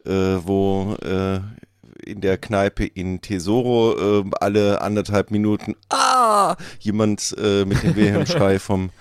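A man's voice: lowish voice, expressive, takes it slowly, clear, resonant tone, no echo, clean studio sound. A man chatting casually as a member of a podcast panel. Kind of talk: casual chat.

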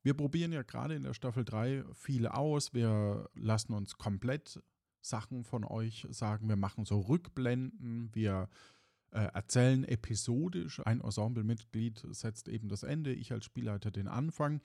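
A man's voice: medium pitch, normal speed, gravelly, mellow, dry recording, studio quality. A man speaking informally and loosely as host and game master, chatting to podcast listeners. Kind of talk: casual chat to podcast listeners.

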